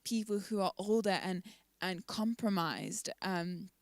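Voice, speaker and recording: Young woman, mid pitch, careful n earnest, church team chat, really dry audio. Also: unhurried, no echo. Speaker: church team member in a discussion. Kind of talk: unscripted discussion.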